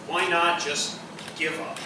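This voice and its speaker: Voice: mid-range voice, steady tone, takes it slowly, lightly acted. An actor in a live radio drama, playing a heartbroken, stubborn romantic who clings to the idea of a soulmate. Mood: doubtful, hurt. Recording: very echoey, distant live recording, clear noise.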